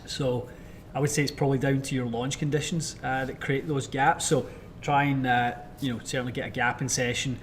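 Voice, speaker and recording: A man hosting a live question-and-answer chat as a club fitter, with a soothing voice and a relaxed, thoughtful delivery full of fillers. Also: medium pitch, fairly quick, a little hiss or hum. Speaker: club fitter and show host.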